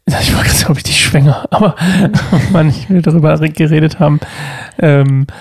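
A young man with a cold hosts a casual chat, his nose stuffed up as he jokes and wanders off mid-sentence. His voice has a gravelly fry. The sound is close and dry. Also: on the high side, steady tone, a little fast, no room sound. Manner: joking, rambling, self-interrupting. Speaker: podcast co-host.

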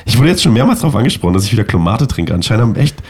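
A man in his thirties, sick and hoarse, chatting casually and joking as co-host of a podcast. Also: lowish voice, big pitch swings, very fast. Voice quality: raspy, gravelly. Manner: joking, rambling. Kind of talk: casual chat.

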